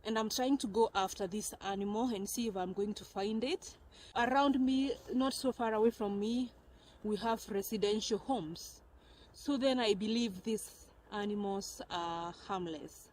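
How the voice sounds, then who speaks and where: A woman's voice: mid-range voice, measured pace, darkish tone, poor audio. Kenyan lady walking thru cold woods, phone mic, chatty, laughs a lot, light hiss in the background. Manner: chatty, laughs often, talks as she walks. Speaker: vlogger. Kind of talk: casual walking vlog. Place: snowy forest outdoors in winter. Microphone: phone camera.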